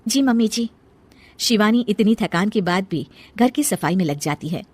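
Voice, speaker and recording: A woman's voice: medium pitch, animated, normal speed. A woman giving scripted narration for a cartoon moral story.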